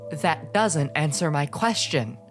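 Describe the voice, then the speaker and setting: Woman as guarded, disapproving aunt, lowish voice, curt drama delivery with music underneath. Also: lively intonation, takes it slowly, exaggerated voice. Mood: stern, guarded. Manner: curt, admonishing. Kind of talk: dramatic comic dub acting.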